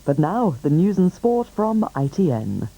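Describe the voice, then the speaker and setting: A man's voice: high voice, very animated, a little slow, creaky. A man with a British accent making scripted television continuity announcements. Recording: dull lo-fi broadcast, loud music behind.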